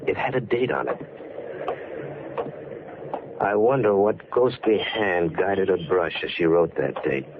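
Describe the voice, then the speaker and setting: An actor playing an earnest, brooding man in an old radio mystery, with a measured, dramatic delivery. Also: low-pitched, steady tone. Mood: brooding wonder. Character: earnest, brooding male survivor of a murder plot. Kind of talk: 1940s radio drama acting. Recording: noisy lo-fi vintage radio recording.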